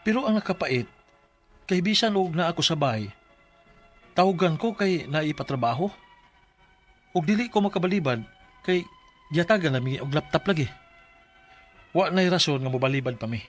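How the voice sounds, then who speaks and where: A man's voice: a little high, expressive, normal speed, long pauses, soft background music. An actor playing a polite, weary young male office employee in a Filipino radio drama, speaking with a Cebuano accent. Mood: weary, rueful. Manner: polite, deferential. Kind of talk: Filipino Bisaya radio drama, letter narration and acted dialogue.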